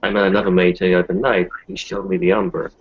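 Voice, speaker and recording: Deep, husky werewolf packmate played by a man, reassuring yet cautionary, tabletop roleplay, muffled lo-fi audio. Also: little pitch movement, fast, no room sound. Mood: calm, cautioning. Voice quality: gravelly, husky. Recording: dull lo-fi voice recording.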